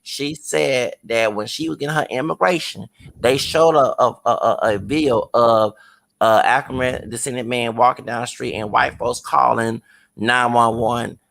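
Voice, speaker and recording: A man with a gravelly, creaky voice hosts a casual talk, giving long rambling explanations. He often stops and restarts with hesitations. His voice sits at an ordinary pitch. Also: steady tone, a little slow, fairly bright tone. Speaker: show host. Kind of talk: casual conversation.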